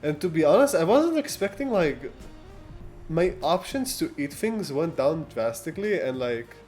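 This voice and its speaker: A man's voice: fairly high pitch, sing-song, a little mellow. Casual male livestreamer over background music. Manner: halting, rambling delivery with frequent false starts and laughter. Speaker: livestreamer chatting with viewers. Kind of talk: casual chat, reading and answering live comments.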